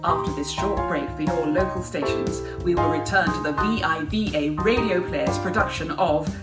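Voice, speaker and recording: A woman's voice: sits low, normal speed. A woman playing a formal continuity announcer for an old-time radio play. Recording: echoey, distant, loud music behind.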